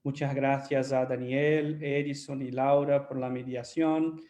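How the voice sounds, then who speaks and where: A man from Brazil, a native Portuguese speaker with a Brazilian accent, speaking careful, slightly halting Spanish as a panel guest. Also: mid-range voice, flat delivery, mellow, roomy sound.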